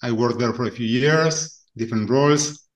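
This is a man in his forties, a guest talking through his experience on a podcast. His voice sits at an ordinary middle pitch. He explains things in a measured way and often stops to rework a phrase. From Argentina. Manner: measured, explanatory, with frequent restarts. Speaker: guest, venture capital fund partner. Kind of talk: conversational podcast interview.

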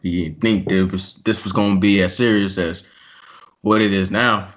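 Very low-voiced male host, slangy and easygoing, dull lo-fi sound. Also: fairly even intonation, moderate pace. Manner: laid-back, slangy. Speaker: podcast host. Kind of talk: casual podcast chat.

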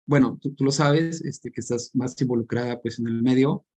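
A Mexican man who lives in northern England, chatting thoughtfully as a podcast guest with lots of pauses and fillers. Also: medium pitch, warm tone, husky. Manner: thoughtful, hesitant, many fillers. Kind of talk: casual conversational chat.

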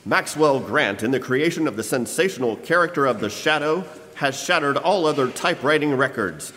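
A man's voice: medium pitch, animated, brisk, sharp tone, a little hiss or hum. A man playing an old-time radio announcer, reading script with grand, emphatic showmanship. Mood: grand, promotional. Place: live performance in a church hall. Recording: live stage in reverberant hall.

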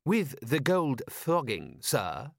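A man's voice: mid-range voice, huge pitch swings, slow pace, gravelly, no room sound, studio quality, pitched above the performer's own voice, exaggerated voice. A man voicing a dutiful, earnest butler in an audiobook, formal, deferential and eager. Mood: polite insistence.

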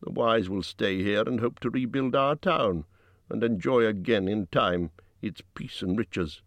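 A man's voice: on the low side, a little slow, a bit bright, no room sound. A man voicing a cunning, self-serving old town master in a pompous, indignant, oratorical manner for a fantasy storybook. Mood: wary, dismissive.

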